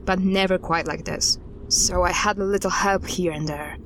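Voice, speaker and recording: A woman playing a guarded investigator in an audio drama, recounting an unsettling case in a halting, deliberate way. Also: on the low side, fairly even intonation, fairly bright tone, a little hiss or hum, natural voice, poor audio. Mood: measured, guarded. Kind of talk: audio drama dialogue, storytelling.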